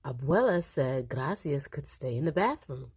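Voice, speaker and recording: A woman reading a picture book aloud to young children in an animated, expressive storytelling style. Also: very deep voice, moderate pace, slightly rough. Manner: animated, acts out the dialogue.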